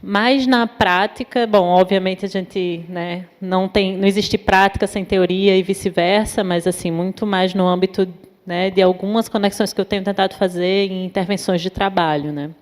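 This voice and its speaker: A woman from Natal with a northeastern Brazilian accent, a psychologist giving an informal talk at a university event, pausing often with fillers. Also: medium pitch, fairly even intonation. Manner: many fillers, thoughtful and hesitant. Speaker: invited speaker, psychologist. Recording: long hall reverb.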